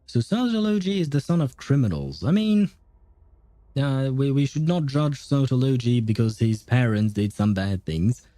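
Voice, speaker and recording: A man's voice: medium pitch, sing-song, moderate pace, warm tone, dry recording. A man hosting a game livestream, giving casual, rambling commentary as he thinks aloud. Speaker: livestream host.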